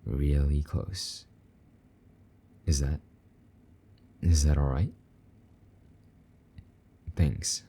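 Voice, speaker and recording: A man playing a possessive but tender, lonely demon lover in an intimate romantic audio roleplay, speaking softly and coaxingly in a husky voice. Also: steady tone, heavily acted. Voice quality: very deep, dark, husky. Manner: soft, slow-burning, gently coaxing endearments.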